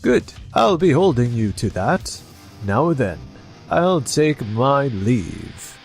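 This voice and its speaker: A man playing a stern, dignified elderly company chairman in an anime-style manga dub, measured and authoritative. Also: medium pitch, very animated, gravelly, soft background music, full character voice.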